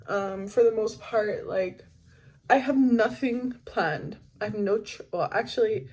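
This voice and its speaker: A woman's voice: medium pitch, a little mellow, husky, rough recording. Candid young woman vlogger, chatting casually. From the Caribbean. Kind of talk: casual chatty personal vlog.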